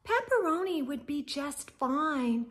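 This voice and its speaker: A woman's voice: high-pitched, big pitch swings, slow, a bit bright, heavily acted. A woman voicing a polite, insistent female cat in a children's storybook. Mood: eager.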